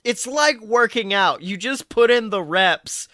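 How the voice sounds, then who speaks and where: A man hosting a casual gaming podcast, chatting in an animated, joking, slangy way. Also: high voice, measured pace, no room sound, clean studio sound. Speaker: podcast host. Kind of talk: casual chat about video games.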